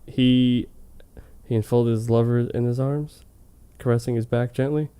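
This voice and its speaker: A man's voice: mid-range voice, fairly even intonation, a little slow, warm tone, clean tone. A man reading fan fiction on a comedy show in a hammy, mock-dramatic way, with casual, amused asides. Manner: hammy, amused, breaking off to comment.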